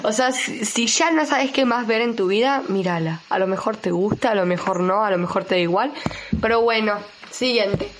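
A woman's voice: medium pitch, moderate pace, slight background noise. A young woman with an Argentine accent chatting casually as a radio co-host, informal, blunt and rambling. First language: Spanish. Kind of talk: casual chat, series recommendations.

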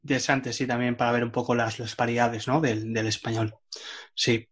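A man's voice: medium pitch, little pitch movement, moderate pace, breathy edge. A man hosting an online discussion among language teachers, explaining in a loose, chatty way with lots of tag questions. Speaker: host of a teachers' discussion group. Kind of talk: casual online meeting talk. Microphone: video call.

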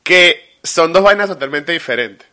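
Un hombre con acento venezolano, copresentador de un podcast, que charla de forma enfática y con jerga. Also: male voice, on the high side, animated, a little slow, pushed and bright. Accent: Venezuelan Spanish. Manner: emphatic, slangy storytelling. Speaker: podcast co-host. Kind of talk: casual chat.

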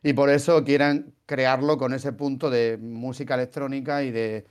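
A man with a Spain Spanish accent, hosting a casual music podcast. He tells the story in a loose, chatty flow and keeps dropping puns. His voice sits at an ordinary middle pitch. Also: flat delivery, moderate pace, clean tone. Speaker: podcast host. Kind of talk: casual podcast chat about a band's history.

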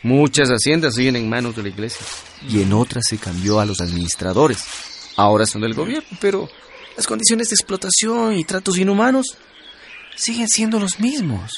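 An actor playing an earnest, idealistic young student in a radio drama, speaking reflectively. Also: male voice, mid-range voice, big pitch swings, normal speed, slight background noise. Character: earnest, idealistic young male student recounting revolutionary times. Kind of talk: radio drama narration and dialogue. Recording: loud music under the voice.

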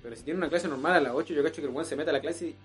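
A young man with a Chilean accent hosting a casual podcast chat over beers, slangy and breaking into loud, emphatic rants. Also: a little high, racing, soft background music. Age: young adult. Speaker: podcast host.

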